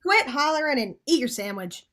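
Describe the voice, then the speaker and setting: A woman plays a bossy small-time crook in a vintage radio drama. The voice is fairly high, with clipped, slangy, hardboiled delivery and a cocky air. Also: big pitch swings, moderate pace, bright, forceful tone, heavily acted. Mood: brisk, bossy. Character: cocky male crook Stanley, bossy schemer and hardboiled narrator.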